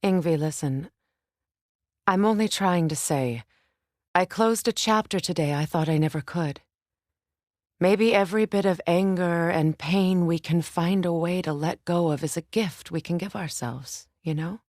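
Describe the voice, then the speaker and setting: A woman's voice: fairly low pitch, measured pace, a little mellow, no echo, close to an everyday voice. A proud Norse goddess from a fantasy video game, played by a woman. She is a middle-aged warrior and mother who carries grief. Her words are formal and earnest, in a close, dry recording. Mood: grave, measured. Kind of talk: dramatic game dialogue.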